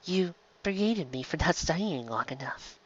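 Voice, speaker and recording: Determined alien scholar woman, read by a man: soft, earnest delivery for sci-fi storytelling. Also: fairly high pitch, expressive, moderate pace, dull audio, pitched above the performer's own voice. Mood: gently defiant.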